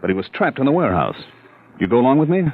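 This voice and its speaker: A man's voice: mid-range voice, sing-song, fast talker. An actor playing an eager male assistant agent in a 1940s radio drama. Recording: narrow old radio broadcast.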